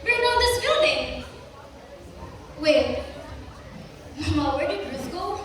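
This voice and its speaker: A woman's voice: very high-pitched, big pitch swings, slow pace, a crowd in the background, heavily acted. A teen girl playing a bold, outspoken daughter. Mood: arguing. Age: teenager. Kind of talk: school stage play acting. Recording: echoey hall from far off, noisy.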